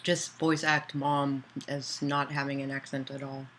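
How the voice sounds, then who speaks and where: Casual streamer-author, mid-pitched voice, loose chatty delivery, answers chat aloud, some faint hiss. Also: level tone, unhurried, fairly bright tone. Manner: loose, with many fillers and restarts.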